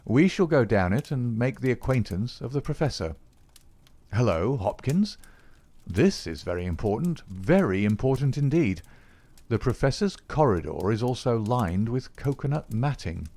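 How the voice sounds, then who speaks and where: A man voicing a calm, sharp detective who talks in a measured, probing way. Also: mid-range voice. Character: sharp, calm middle-aged male detective.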